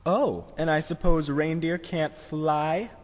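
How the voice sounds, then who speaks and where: A man playing a curious, excitable schoolboy in a children's puppet show, with a husky voice and earnest manner. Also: a little high, slow pace, long reverb tail.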